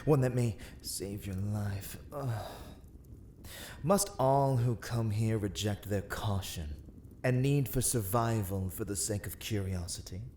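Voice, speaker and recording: A man playing a haughty, menacing vampire lord in a dark ASMR roleplay, speaking in formal, archaic, deliberate phrases. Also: fairly low pitch, slight background noise. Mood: stern, warning.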